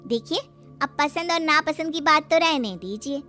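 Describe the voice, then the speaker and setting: A high, bright-voiced young married sister-in-law, acted by a woman. She meddles and needles pointedly in cartoon-story style, with faint music underneath. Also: animated, normal speed. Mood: needling. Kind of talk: Hindi cartoon story acting.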